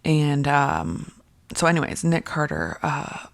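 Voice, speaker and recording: A low, gravelly-voiced woman talks through a casual commentary piece. Her voice is raspy and worn from whispering, and she rambles with plenty of ums, restarts and throat-clearing. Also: sing-song, slow. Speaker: commentary video creator.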